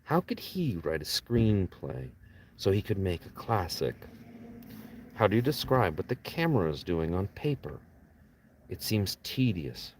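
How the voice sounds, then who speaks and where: A man's voice: low-pitched, big pitch swings, a little slow, a little mellow, a little hiss or hum. A man, an author reading his own novel aloud as an audiobook narration, with casual asides to listeners.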